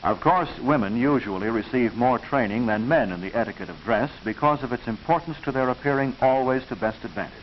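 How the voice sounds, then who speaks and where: An American man reading scripted narration for a 1940s educational film, instructive and proper, with measured enunciation. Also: medium pitch, animated. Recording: hissy, muffled 1940s film soundtrack.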